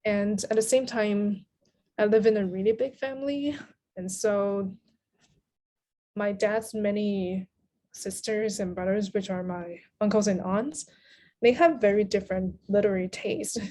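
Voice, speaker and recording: A woman with a Taiwanese accent speaks as a guest in a conversational interview. She is a native Mandarin speaker and her voice sits at a medium pitch for a woman. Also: measured pace, mellow. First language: Mandarin Chinese. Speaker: podcast guest, literary translator.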